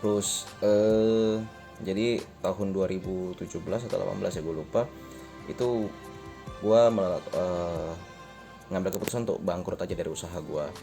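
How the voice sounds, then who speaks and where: A man's voice: deep voice, steady tone, normal speed, vocal fry, mellow, faint music behind. A man in his late twenties from Indonesia chatting casually in a personal motovlog, rambling, correcting himself and chuckling at his own jokes. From Indonesia, born in Medan. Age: late 20s. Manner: informal Jakarta slang. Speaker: vlogger. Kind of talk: casual personal vlog talk. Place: riding on a motorbike through traffic, out of doors. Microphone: motovlog helmet camera.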